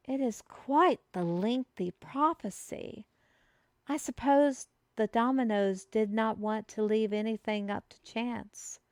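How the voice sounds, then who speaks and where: A woman playing an excitable fantasy messenger who proclaims a prophecy to the listener in grandiose, formal, old-fashioned phrasing, as a scripted audio roleplay. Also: mid-range voice, no echo, studio quality. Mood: solemn, dramatic. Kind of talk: theatrical scripted roleplay monologue addressed to the listener.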